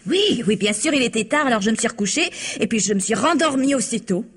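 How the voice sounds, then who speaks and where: Husky, naive young maid. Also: female voice, on the high side, lively intonation, fast. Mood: naive, chatty. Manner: hesitant, rambling, repeats herself, giggly. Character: naive, chatty young country maid, flustered and candid. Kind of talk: French radio mystery drama acting.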